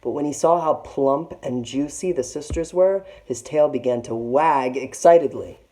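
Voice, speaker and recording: A woman teacher reading a storybook aloud in an expressive, explanatory manner with clear phrasing. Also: rumbling low, moderate pace. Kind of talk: storybook read-aloud with short lesson asides.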